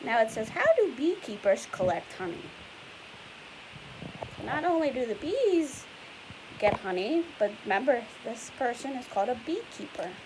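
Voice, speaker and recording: A woman's voice: on the high side, big pitch swings, moderate pace. A woman reading a picture book aloud to young children, patiently explaining it in simple words and putting questions to the listener. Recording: clear background hiss and noise.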